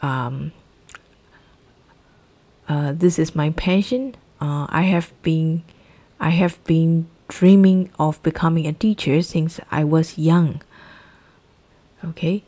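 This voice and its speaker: Low mellow Burmese-accented teacher. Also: female voice, slightly rough. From Myanmar. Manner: repeats key phrases slowly to drill them. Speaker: English teacher. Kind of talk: exam-prep lesson.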